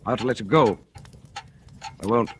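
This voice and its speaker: A man's voice: mid-range voice, normal speed, a bit bright, lightly acted. A man playing a bitter jealous husband. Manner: bitter, cutting, menacing. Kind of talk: 1940s radio acting. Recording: old radio broadcast with hiss.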